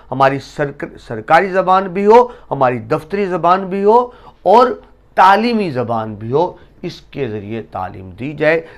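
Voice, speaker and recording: A man giving a lesson to students, explaining essay writing and reciting couplets slowly with emphasis. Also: fairly high pitch. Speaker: teacher.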